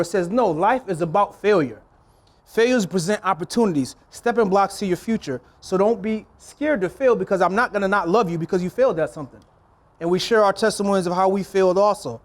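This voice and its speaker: A man from a church speaking earnestly to an audience about mentoring children. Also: high voice. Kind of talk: encouraging public speech.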